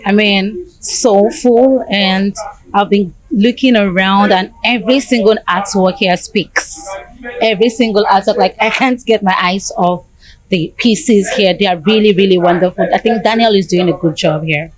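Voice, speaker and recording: A woman's voice: medium pitch, normal speed, rough recording. A woman giving an informal opinion as an interviewed visitor. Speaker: gallery visitor being interviewed.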